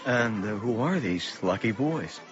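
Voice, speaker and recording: A middle-aged father in a radio drama, worried and puzzled. His low voice moves expressively, on a slightly muffled recording with music behind. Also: lively intonation, measured pace. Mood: uneasy, puzzled. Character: concerned middle-aged father, narrating and talking to his son. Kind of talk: radio drama narration and dialogue.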